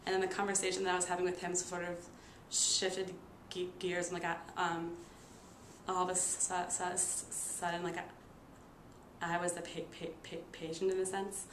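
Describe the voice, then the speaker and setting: A young woman shares a personal story in a casual, halting way, with a marked stutter that repeats sounds and stalls on words. Her pitch stays quite flat. She is recorded in an echoey room with some noise behind her. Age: 20s. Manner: halting, with frequent fillers. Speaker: nursing student and stuttering therapy client. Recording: roomy echo with noticeable background noise.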